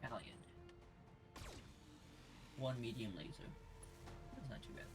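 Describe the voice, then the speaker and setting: A man's voice: mid-range voice, a little slow, music in the background. A man doing casual let's play commentary, muttering through his decisions as he plays.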